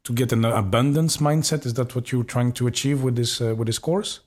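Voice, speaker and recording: A man's voice: medium pitch, fairly quick, a little mellow. A man from Belgium, hosting a podcast interview, friendly, with many fillers and self-corrections. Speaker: podcast host.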